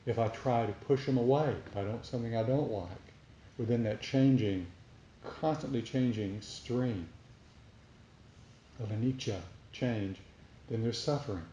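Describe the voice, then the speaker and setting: A man giving a reflective spiritual talk to a group as a meditation teacher, speaking deliberately with pauses between short phrases. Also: mid-range voice, warm tone. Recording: roomy echo, faint background noise.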